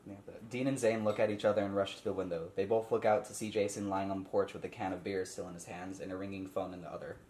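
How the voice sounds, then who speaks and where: A man's voice: deep voice, level tone, fast talker, few pauses, a little mellow. A man leading a casual table read, reading out stage directions and explaining informally. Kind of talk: casual script read-through with asides. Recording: echoey room with faint noise.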